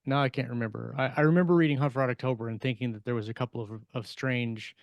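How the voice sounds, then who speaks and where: A middle-aged man co-hosting a casual panel podcast as an author, explaining things haltingly into a close desk microphone at home. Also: medium pitch, fairly even intonation, creaky, dry recording, lo-fi sound. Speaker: podcast co-host and science fiction author. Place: small home room lined with shelves. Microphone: close desk microphone with headset, on a video call.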